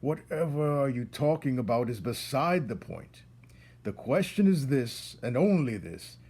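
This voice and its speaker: A stern old head mouse of a storybook council, acted out by a man, declaiming with pompous, indignant authority. The voice is husky and swings up and down with formal emphasis. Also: medium pitch, expressive, a little slow, dark tone, pitched above the performer's own voice.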